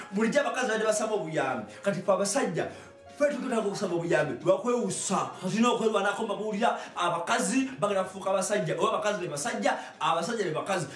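A middle-aged man with a Ugandan accent, delivering an animated comic monologue to camera as a show host, often repeating phrases for emphasis. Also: sits high. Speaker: online comedy show host. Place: small indoor studio with hard walls. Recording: echoey room, distant microphone, music.

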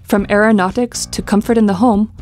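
A woman reading scripted documentary narration in a clear, measured way. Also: mid-range voice, fairly even intonation, darkish tone. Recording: studio voice-over with music behind. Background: music.

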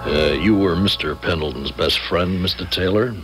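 An actor playing a methodical police lieutenant in a radio drama, questioning matter-of-factly. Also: male voice, sits low, normal speed, a bit bright, soft background music, poor audio. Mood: probing. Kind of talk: 1970s radio drama acting. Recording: old radio recording, faint noise, hum.

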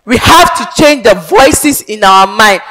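Young Ghanaian woman, pageant stage monologue, theatrical and emphatic, headset mic, soft music behind. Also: medium pitch, animated, unhurried. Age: young adult. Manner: theatrical, emphatic, declamatory, with sudden loud swells. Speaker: pageant contestant. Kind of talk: dramatic advocacy monologue on stage. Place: large indoor stage.

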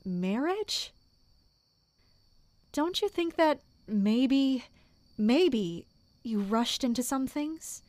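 A woman acting a patient female servant in a fantasy audio drama. She speaks slowly and gently, with concern for the one she looks after. The recording is close and dry. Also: high-pitched, lively intonation, dry recording, heavily acted.

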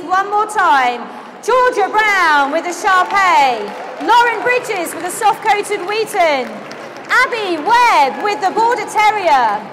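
High-voiced woman announcing at a show. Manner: slow, declamatory announcing of names. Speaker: ring announcer. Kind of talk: public-address announcing. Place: large indoor exhibition hall. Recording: crowd and hall noise.

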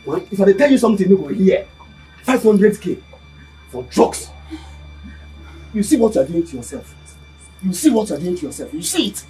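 An actor with a Nigerian accent playing an agitated, scolding friend in a film drama, loud and exclamatory in Pidgin, repeating phrases. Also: medium pitch, sing-song, fairly quick, pauses often, natural voice, rough recording. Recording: faint music underneath.

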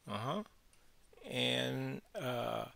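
A man teaching physics, explaining a worked problem step by step in halting phrases with frequent verbal checks. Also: mid-range voice, fairly even intonation, vocal fry, pushed and bright.

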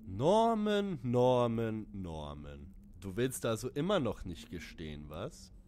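Man acting a slow-talking deranged obsessive fan. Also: fairly low pitch, very animated, darkish tone, slight background noise. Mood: creepy, menacing. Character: obsessed deranged male fan in a wheelchair, menacing. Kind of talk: audio drama parody acting.

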